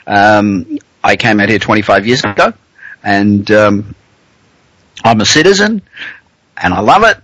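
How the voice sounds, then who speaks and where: A man's voice: on the low side, animated, unhurried, pauses often, fairly bright tone, no room sound, low-quality recording. A man with an Australian accent hosting a business talk radio show, blunt and joking, chatting with fillers.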